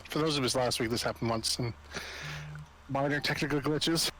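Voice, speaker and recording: A man's voice: mid-range voice, normal speed, a bit bright, low-quality recording. Guy in an online rpg session, normal mid voice, casually explaining, slight background noise. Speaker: tabletop game player. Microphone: online video call.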